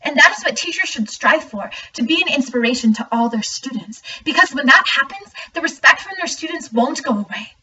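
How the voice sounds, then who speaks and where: Earnest high-voiced student, acted by a young woman. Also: animated, a little fast, few pauses, sharp tone. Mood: earnest, hopeful. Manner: earnest, emphatic. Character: earnest student speaking out about teachers. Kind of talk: scripted dramatic monologue. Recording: muffled, distant mic, faint noise.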